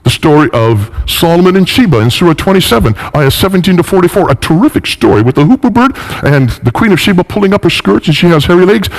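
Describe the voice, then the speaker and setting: Animated man lecturing emphatically. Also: fairly high pitch, poor audio. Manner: emphatic, rhetorical questioning, repeats phrases for emphasis. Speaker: lecturer in religious history.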